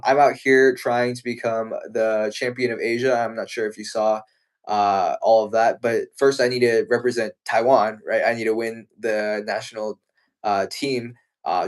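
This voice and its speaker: A young man from the United States talks martial arts in a relaxed live chat. His voice sits fairly low. He sounds earnest and thoughtful, often starting a sentence twice before it comes out. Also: normal speed. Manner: earnest, thoughtful, with frequent restarts. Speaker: martial arts competitor and co-host. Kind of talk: casual live-stream chat.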